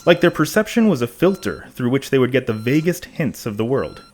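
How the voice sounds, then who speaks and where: Actor as a pensive mid-voiced podcast host. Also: male voice, mid-range voice, very animated, a little fast, a little mellow, husky. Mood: pensive, conversational. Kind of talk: conversational scripted monologue in audio drama.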